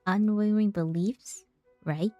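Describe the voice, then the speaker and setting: A woman's voice: medium pitch, steady tone, a little slow, mellow, faint music behind. A woman streamer giving casual reactions while playing a game.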